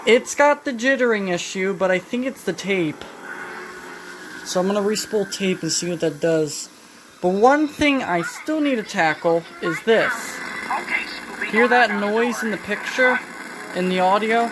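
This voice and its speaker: A woman talking casually through a hands-on repair demonstration, halting and thinking aloud as she goes. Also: mid-range voice. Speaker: vintage electronics repair hobbyist. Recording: noisy home video, steady background noise. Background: clear background noise.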